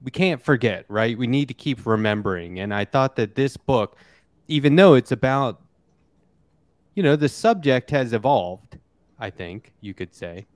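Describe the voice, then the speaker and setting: A man's voice: medium pitch, lively intonation, unhurried, no echo. Casual male podcast host, dry close sound. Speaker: podcast host interviewing guests. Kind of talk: casual political podcast chat.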